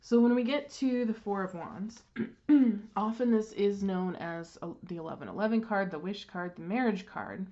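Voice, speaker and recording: A woman's voice: medium pitch, warm tone, highs cut off. Congested woman giving a tarot reading. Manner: unhurried, with frequent ums and restarts. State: congested, clearing throat. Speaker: tarot reader. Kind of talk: casual card reading.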